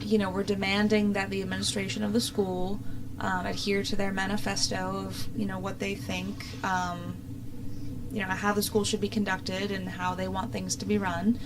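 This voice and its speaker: A young woman hosts an opinionated talk show in a casual, conversational way. Her voice sits at an average pitch, and she sounds exasperated and sarcastic as she comments. Some background noise runs under the recording. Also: little pitch movement, normal speed. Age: young adult.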